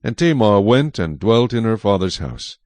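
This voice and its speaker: A man's voice: on the low side, sing-song, normal speed, darkish tone, no echo. A man reading scripture as the narrator of a dramatized audio Bible.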